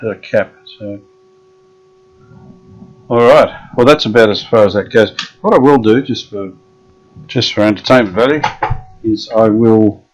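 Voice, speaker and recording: A man restoring an old radio, thinking aloud in a halting, casual way with many pauses and fillers. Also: lowish voice, dull audio. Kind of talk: hands-on workshop demonstration.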